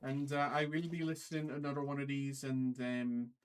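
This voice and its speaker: Halting man streamer with put-on Irish accent. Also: mid-range voice, flat delivery, clean tone. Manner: halting, repeats phrases. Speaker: trading card break livestream host. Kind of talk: casual livestream commentary, calling out cards.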